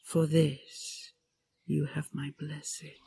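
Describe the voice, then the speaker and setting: A woman voicing an ancient, imperious dragon queen in an audio roleplay, breathy and gravelly, with a regal, commanding manner. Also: sits low, flat delivery, slow pace, mellow, slight background noise, exaggerated voice. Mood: haughty, softening. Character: ancient imperious female dragon queen, stern, possessive, condescending.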